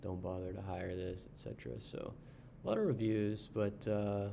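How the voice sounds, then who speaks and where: A man casually reviewing an online service while browsing its pages, unhurried, with frequent ums and uhs. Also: fairly low pitch, fairly even intonation, gravelly, noisy background. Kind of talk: casual screen-share review.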